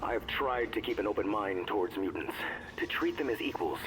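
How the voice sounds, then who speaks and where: An actor playing a grave scientist who records a formal, measured personal log in a video game. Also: male voice, on the low side, lively intonation, a bit bright, soft background music, low-quality recording. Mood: grim, resolute. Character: grave male scientist recording his personal log, hardening against mutants. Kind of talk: audio log narration, video game acting.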